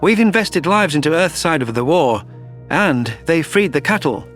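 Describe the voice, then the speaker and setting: Sci-fi audio drama: man playing a level-headed male alien advisor, somewhat high for a man, faint music bed. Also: lively intonation, normal speed, a bit bright. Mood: pragmatic, reassuring. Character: male alien military advisor, calm, pragmatic, reassuring. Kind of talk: audio drama narration dialogue.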